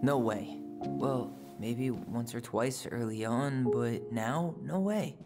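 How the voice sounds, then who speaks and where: A man's voice: mid-range voice, slow pace. An actor playing an easygoing, sincere young man in a naturalistic video game drama. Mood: reflective, sincere. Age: young adult. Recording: loud music behind the voice.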